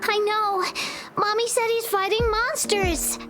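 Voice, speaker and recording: A woman's voice: very high-pitched, fairly even intonation, slow. A woman voicing a trusting, pleading little girl who misses her father, in a video game. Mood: hopeful. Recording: music behind the voice. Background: background music.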